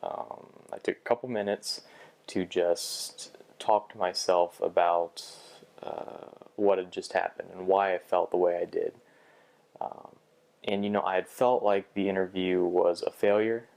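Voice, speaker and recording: Low, flat-voiced young man reflecting. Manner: hesitant, many restarts and fillers. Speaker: vlogger. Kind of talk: reflective personal vlog.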